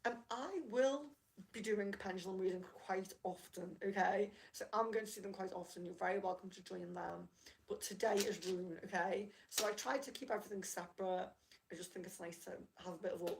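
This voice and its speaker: A woman hosting a casual livestream, chatting and apologising to viewers as she reads out their comments. Her voice has a gravelly fry and sits at a middling pitch. She is slightly hoarse from losing her voice. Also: darkish tone, a little hiss or hum, low-quality recording. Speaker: livestream rune reader.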